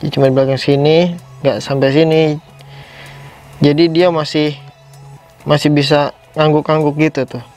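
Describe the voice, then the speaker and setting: A man's voice: a little high, level tone, moderate pace, pauses often, darkish tone, soft background music. A man giving a casual, unscripted product review, rambling a little and repeating himself as host. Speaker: toy reviewer, video host.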